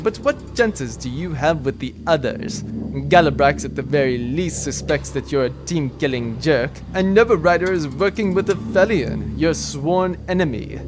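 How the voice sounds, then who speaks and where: Man playing a wry, higher-voiced scheming space officer. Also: background noise, lightly acted. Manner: dry, sardonic, nonchalant. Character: wry, scheming male military officer and pilot. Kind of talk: sci-fi audio drama acting.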